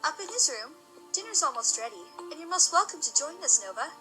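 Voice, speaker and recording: Woman acting a high-voiced, gracious pony mother. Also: big pitch swings, fast, bright, forceful tone, soft background music, exaggerated voice. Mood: welcoming. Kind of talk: audio drama acting.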